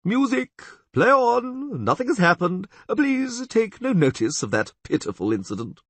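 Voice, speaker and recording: A man's voice: high-pitched, big pitch swings, a little slow, fairly bright tone, no room sound, pitched above the performer's own voice, heavily acted. Man playing a pompous portly chamberlain. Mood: flustered. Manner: pompous, emphatic. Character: portly haughty pompous older court chamberlain. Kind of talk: audiobook character voice.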